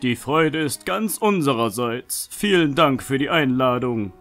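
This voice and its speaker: A man's voice: mid-range voice, animated, unhurried, a bit bright, faint music behind. Man playing a courteous, careful nobleman. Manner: polite, formal, careful. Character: courteous, evasive young nobleman. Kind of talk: fan-made fantasy audio drama acting.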